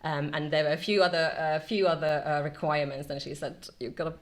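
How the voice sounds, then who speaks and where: A middle-aged woman from Finland, a company director being interviewed, speaking in a measured way with many "um"s between phrases. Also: sits low, steady tone. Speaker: marketing and e-commerce director, guest. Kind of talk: casual interview.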